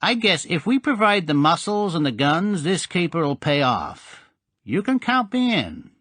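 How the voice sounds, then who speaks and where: A man voicing a charming, smooth-talking male casino boss. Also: on the high side, very animated, a little slow, a bit bright, no echo, voice pushed up, full character voice.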